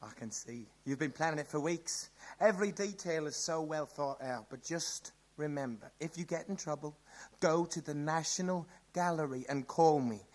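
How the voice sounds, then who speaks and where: A man's voice: on the high side, moderate pace, hardly any pauses, close to an everyday voice. An actor playing an anxious, protective father in a radio drama, fretful and caring in the way he talks.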